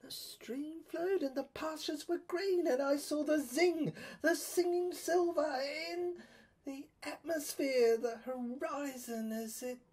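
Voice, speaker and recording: A woman narrating an audio play as dramatic first-person storytelling, halting and theatrical, often drifting into whispers. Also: high-pitched, darkish tone.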